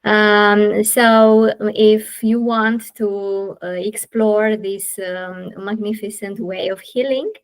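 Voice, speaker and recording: A woman's voice: medium pitch, fairly even intonation. Romanian woman hosting a podcast, takes her time, lots of uhs. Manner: slow and thoughtful, many "uh" fillers. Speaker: podcast host. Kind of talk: casual interview chat.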